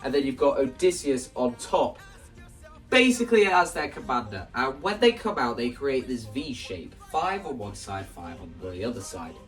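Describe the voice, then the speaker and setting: Man, casual video creator, animated explaining, slightly distant mic, music in the background. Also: mid-range voice, moderate pace. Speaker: video creator explaining stage play ideas. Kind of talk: casual spoken walkthrough to camera.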